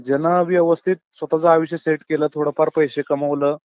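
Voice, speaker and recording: Quick, high-voiced rural Maharashtrian man. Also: level tone, darkish tone, smooth, no room sound. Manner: colloquial, earnest, philosophising, often addresses listener as brother. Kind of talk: casual phone chat, opinionated monologue. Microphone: phone call, close and dry. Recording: dull lo-fi phone call recording.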